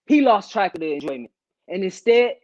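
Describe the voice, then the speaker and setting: A man's voice: big pitch swings, a little fast, a little mellow. A man auditioning with an earnest, emphatic dramatic monologue as someone grieving a friend's lost ambition. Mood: impassioned, preaching. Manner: emphatic, earnest, high-energy delivery. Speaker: auditioning actor. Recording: dull lo-fi online call.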